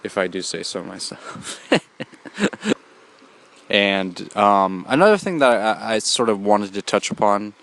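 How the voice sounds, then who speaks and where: A man's voice: on the low side, big pitch swings, takes it slowly, long pauses, a bit bright. A young man hosting a solo podcast, talking in a rambling casual way with many fillers and restarts, a bit congested from a cold. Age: young adult. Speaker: podcast host.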